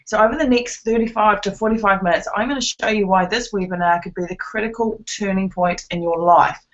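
A woman with a slightly croaky voice from a cold, earnestly presenting a business opportunity on a webinar with many fillers. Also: mid-range voice, a little fast. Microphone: conference call line. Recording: dull lo-fi call audio.

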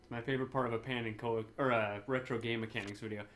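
A man's voice: medium pitch, fairly even intonation, fast talker, fairly bright tone, slight background noise. A man in his thirties chatting casually and jokily as a gaming co-host, swearing now and then. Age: 30s. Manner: sweary, jokey. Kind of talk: casual joking banter.

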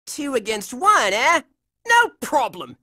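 Brash, high-pitched scrappy cartoon boy. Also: big pitch swings, takes it slowly, pushed and bright, no room sound, studio quality, exaggerated voice. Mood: cocky, determined. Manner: brash, slangy, combative. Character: scrappy, tough-talking hot-headed boy action hero. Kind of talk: animated cartoon video game acting.

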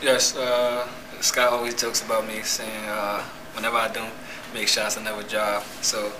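A man's voice: fairly low pitch, monotone, normal speed. A young man, a college basketball player, giving hesitant, modest answers at a postgame press conference. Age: young adult. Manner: hesitant, modest replies with fillers. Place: press room in front of a team backdrop. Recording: noisy press room audio.

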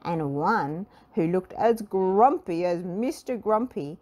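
A woman narrates a children's storybook. Her voice is on the low side, and she reads very slowly, with deliberate expression. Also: lively intonation, darkish tone. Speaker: storybook reader.

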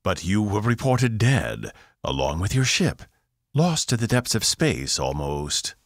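A man's voice: on the low side, sing-song, unhurried, no room sound, studio quality, voice pushed up. A man voicing a wary, imperious female alien priestess who speaks sharply and formally. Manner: sharp, commanding, archaic.

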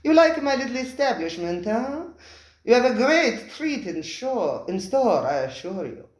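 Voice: medium pitch, very animated, pitched above the performer's own voice. A reader voicing an effusive, purring male restaurant owner who fawns over his guests and draws out his words. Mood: fawning. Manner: fawning, drawn-out, repeats himself. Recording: roomy echoing room.

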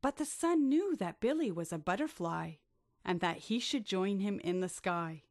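A woman narrating a rhyming bedtime story for children with expressive, drawn-out delivery. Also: medium pitch, no echo, clean studio sound.

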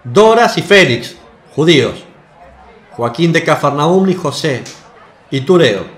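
A man's voice: fairly high pitch, lively intonation, takes it slowly, a bit bright. A man playing a hostile temple official in a radio drama, accusing and contemptuous. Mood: curt. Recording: echoey room.

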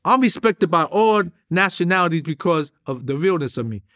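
A man's voice: on the high side, lively intonation, moderate pace, a bit bright. A middle-aged man with a New York accent, an interview guest telling street stories emphatically, full of slang. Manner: streetwise, emphatic, heavy slang, gestures while talking. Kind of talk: animated street storytelling. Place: indoor studio with a drum kit.

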